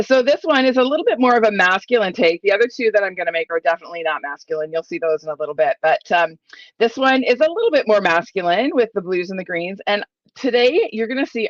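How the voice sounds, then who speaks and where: Chatty, slightly croaky woman. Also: medium pitch, no echo, dull audio. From Ontario, Canada. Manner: chatty and enthusiastic, many fillers. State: croaky from a cold. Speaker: papercraft demonstrator. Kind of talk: live crafting tutorial.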